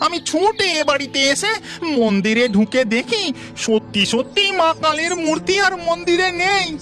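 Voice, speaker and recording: Scolding cartoon priest, voiced by a woman, high-pitched, distraught and full of reproach. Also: very animated, moderate pace, loud music behind the voice. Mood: earnest. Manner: exclamatory, reproachful. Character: distraught, scolding male village temple priest.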